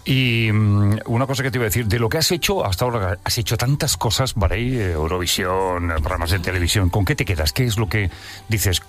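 A man's voice: fairly low pitch, fairly quick. A man hosting a live radio interview in a studio, playful and teasing. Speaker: radio host.

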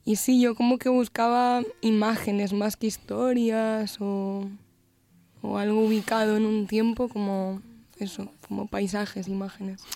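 A young woman from Galicia with a light Galician accent, a musician guest talking in a relaxed, thoughtful way with many fillers in a radio studio. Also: mid-range voice, unhurried. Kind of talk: radio interview.

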